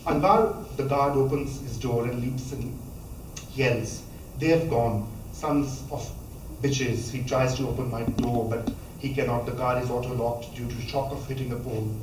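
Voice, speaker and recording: Guy reading his book out loud, echoey lecture hall, mic kinda far off, faint room hum. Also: mid-range voice, fairly even intonation. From Pakistan. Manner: measured reading with small stumbles and restarts. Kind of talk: reading a memoir passage aloud.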